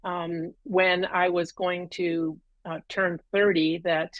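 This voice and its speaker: A woman's voice: fairly low pitch, little pitch movement, slow, dry recording, dull audio. A middle-aged woman, a guest on a video call, telling her story at length with many ums and uhs. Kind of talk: casual interview. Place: room at home, green wall.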